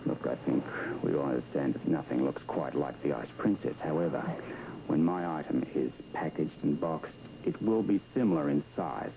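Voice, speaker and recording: A man's voice: very deep voice, normal speed, vocal fry, warm tone. A man in his thirties acting in a TV soap as a methodical, cautious schemer, talking in a clipped, businesslike way. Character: methodical male schemer planning a covert switch, impatient and cautious. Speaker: soap opera actor. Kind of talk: television soap opera dialogue. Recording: noisy worn VHS tape of television.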